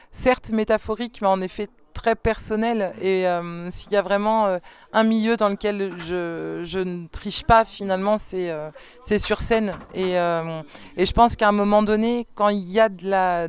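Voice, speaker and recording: A woman's voice: medium pitch, darkish tone, clear, resonant tone. A woman singer answering interview questions in a thoughtful, hesitant way, with many fillers and restarts. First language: French. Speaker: band singer and songwriter. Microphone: studio microphone.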